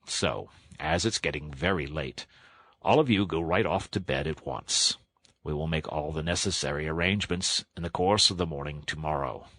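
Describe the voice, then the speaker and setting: Guy doing a gruff old badger from a kids book, deep and raspy, dry bossy delivery. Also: expressive, sharp tone, dry recording, exaggerated voice. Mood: dry, commanding. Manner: dry, measured, authoritative. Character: gruff, dry, commanding older male badger. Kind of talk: children's storybook character voice.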